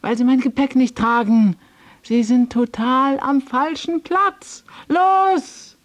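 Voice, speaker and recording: An actress playing a stranded, demanding married woman traveller in a radio play, speaking in deliberate, imperious short phrases. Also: high voice, no room sound. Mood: commanding. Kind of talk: German radio play acting.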